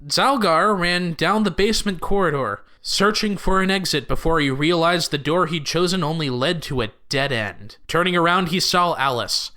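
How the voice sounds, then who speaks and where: A man's voice: on the high side, moderate pace, hardly any pauses, sharp tone. A man playing a dramatic storyteller who narrates an audio drama with emphatic delivery. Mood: dramatic storytelling.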